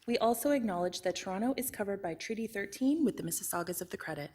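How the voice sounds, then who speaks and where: A woman clerk reads a formal statement at a quick clip. Her voice is husky, and her delivery is steady and businesslike. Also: mid-range voice. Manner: brisk, steady recitation. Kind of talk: formal reading.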